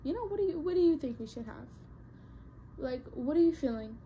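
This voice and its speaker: Young woman rambling to her subscribers, kinda high voice, faint hum in the back. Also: animated, brisk, dull audio. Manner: chatty, rambling with many fillers and restarts. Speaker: vlogger. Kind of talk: casual talk to camera.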